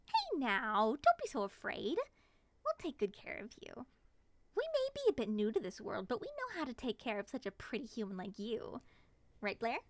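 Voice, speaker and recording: A woman playing an excitable, affectionate moth monster girl in an ASMR roleplay, gushing and sweetly possessive. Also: fairly high pitch, normal speed, a little hiss or hum. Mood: doting, possessive.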